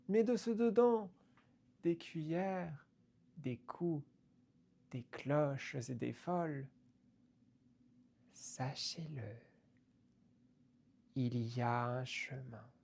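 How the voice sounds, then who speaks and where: Slow, gravelly woman reciting poetry. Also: deep voice, dark tone. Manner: deliberate, halting, broken into short phrases.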